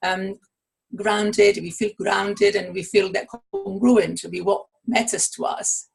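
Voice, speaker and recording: A woman's voice: medium pitch, fairly even intonation, takes it slowly, creaky, faint music behind. A middle-aged woman from Italy with an Italian accent, answering interview questions as an author and coach, thoughtful, with many fillers and restarts.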